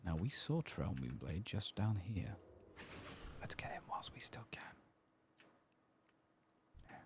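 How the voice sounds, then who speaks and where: A soft-spoken man murmuring and whispering ASMR commentary as he plays a video game. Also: low voice, very animated, takes it slowly. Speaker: ASMR gaming streamer. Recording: clear background noise with clicking.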